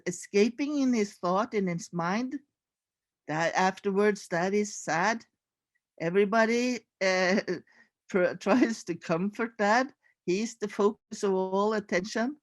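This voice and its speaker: A woman's voice: medium pitch, no room sound. A woman reading a picture book aloud as its author, in a dramatic, incantatory way with intense repetition. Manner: intense, incantatory, with repeated phrases. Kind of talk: dramatic storybook read-aloud.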